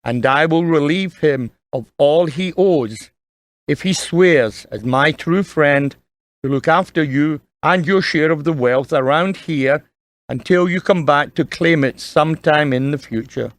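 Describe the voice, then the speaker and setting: Man playing a kindly father, higher-pitched, dry. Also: measured pace, dry recording, close to an everyday voice. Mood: earnest, reflective. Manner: earnest, gentle, solemn instruction. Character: kindly, earnest dying father advising his young son. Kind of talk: audio drama acting.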